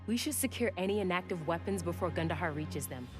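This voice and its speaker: Young female squad leader, actress, game cutscene: commanding, decisive, slightly low register. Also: lively intonation, fast, over music, lightly acted. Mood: determined. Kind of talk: video game cutscene acting.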